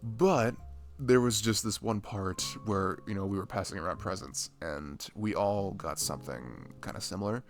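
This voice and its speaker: Creaky, shy, dorky young man, acted out by a man. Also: on the low side, soft background music, natural voice. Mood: self-mocking. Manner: hesitant, self-deprecating, halting with fillers and sighs. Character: dorky, shy, lonely young man, the listener's best friend. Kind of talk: intimate ASMR roleplay, casual rambling monologue.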